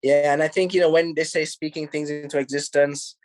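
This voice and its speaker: A man with a Jamaican accent, a film director answering interview questions in a relaxed, rambling way, full of "you know"s. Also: on the high side, fairly quick, no room sound, faint music behind. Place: home in Los Angeles.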